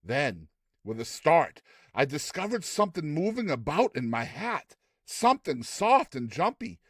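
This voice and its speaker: A man narrating classic fiction as a dramatized audiobook, with measured, theatrical storytelling. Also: fairly high pitch, sing-song, a bit bright, no room sound, clean studio sound. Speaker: narrator, reader.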